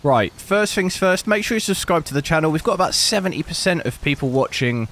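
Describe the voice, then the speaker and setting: A man with a hoarse, lost voice, hosting a PC gaming channel and commenting casually while he plays, thinking aloud. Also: fairly high pitch, fairly quick. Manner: relaxed, rambling, thinking aloud. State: hoarse, losing his voice. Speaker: PC gaming benchmark channel host.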